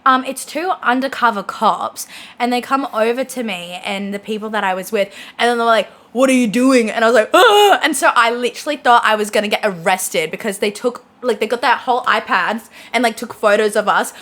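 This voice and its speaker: A woman's voice: a little high, fairly quick. A young woman chatting casually as a podcast guest, a little nasal from a sinus infection, telling stories with lots of giggles. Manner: giggly, animated storyteller. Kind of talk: casual chat.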